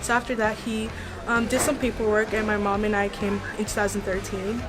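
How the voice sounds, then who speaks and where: A woman's voice: mid-range voice, level tone, fairly quick, noisy background. A teenage girl from Togo in West Africa, a native French speaker, giving an informal testimonial as a student.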